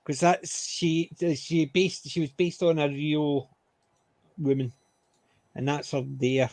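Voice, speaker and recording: A Scottish man talks in a loose, joking way on a group podcast, poking fun at himself. His voice sits a little high, and the sound is dry and close with no room around it. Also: flat delivery, slow, darkish tone, no room sound. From Scotland. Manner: joking, self-deprecating, chatty. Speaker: podcast co-host. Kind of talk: casual group chat.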